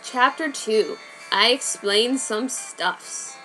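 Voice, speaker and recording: A woman giving a comedic dramatic reading of fan fiction, mock-serious, with sudden loud outbursts. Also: on the high side, extremely slow, over music, rough recording. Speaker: reader.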